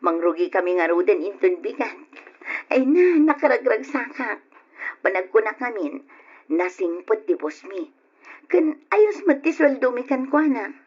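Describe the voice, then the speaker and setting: A woman's voice: a little high, big pitch swings, a little slow, darkish tone, husky, exaggerated voice. A woman with an Ilocano accent playing a cheerful, chatty young working wife in a radio drama. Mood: warm, concerned. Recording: dull lo-fi old radio recording.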